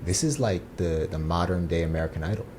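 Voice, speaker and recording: A man's voice: low-pitched, fast talker, background noise. A man co-hosting a reaction video, chatting casually and reading captions aloud in a hesitant way. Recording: music playing loudly underneath.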